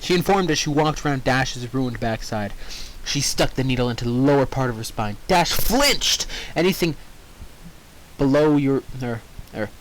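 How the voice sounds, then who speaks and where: A man's voice: mid-range voice, expressive, moderate pace, fairly bright tone, rough recording. A man reading fan fiction aloud with informal, jokey asides, easily sidetracked from the narration. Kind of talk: story read-aloud with casual ad-libbed commentary.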